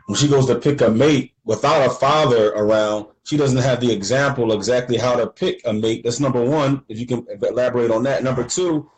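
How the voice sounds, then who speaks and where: Male interviewer, mid-pitched, measured and reflective. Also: rough recording. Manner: asks measured, reflective questions. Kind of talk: conversational interview.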